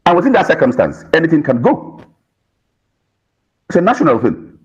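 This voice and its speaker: A man's voice: on the high side, big pitch swings, moderate pace, a little mellow, breathy edge. A Nigerian man arguing as a guest on a political panel, emphatic and repetitive, with rhetorical questions.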